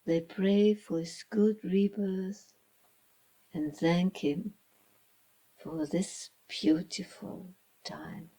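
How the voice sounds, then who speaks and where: Woman reading a kids story real slow, lowish mellow voice, breathy n hushed, lots of pauses. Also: poor audio. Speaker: author reading own children's story. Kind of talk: slow dramatic storybook narration.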